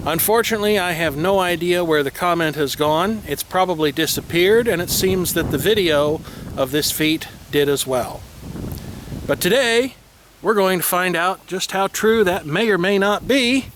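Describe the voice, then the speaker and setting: Man, slightly high voice, casual video host, mock-serious, wordy, windy outdoor noise. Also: moderate pace, a bit bright, low-quality recording. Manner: wordy, tongue-in-cheek, playfully formal phrasing. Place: outdoors on a windy day, by a vehicle.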